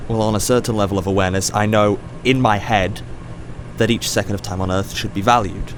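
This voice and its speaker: An actor playing a probing, inquisitive ethics professor in an audio drama, talking in a conversational, searching way. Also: male voice, lowish voice, fairly even intonation, a little fast, a little hiss or hum, natural voice. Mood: fascinated, thoughtful. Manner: conversational, probing, hesitant restarts.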